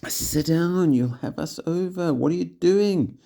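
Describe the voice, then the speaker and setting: A man doing a bossy yelling schoolboy. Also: fairly high pitch, measured pace, gravelly, a little hiss or hum, voice pushed up. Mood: alarmed. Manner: yelling and scornful.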